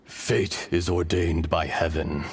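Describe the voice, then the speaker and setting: A man playing an ancient, philosophical earth dragon in a video game, speaking in a grave, poetic, measured way. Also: low-pitched, fairly even intonation, husky, exaggerated voice. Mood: solemn, reflective. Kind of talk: dramatic video game acting.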